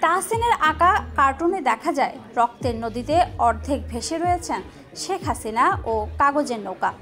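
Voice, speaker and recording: A woman's voice: high-pitched, big pitch swings, normal speed, bright, forceful tone. A woman reading a scripted news narration as a reporter. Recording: faint music behind voice. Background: faint background music.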